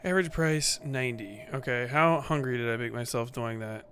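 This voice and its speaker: A man's voice: mid-range voice, normal speed, a bit bright, a little hiss or hum. Profane muttering male game streamer. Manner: muttering, profane, reads game menus aloud. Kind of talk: casual gameplay commentary.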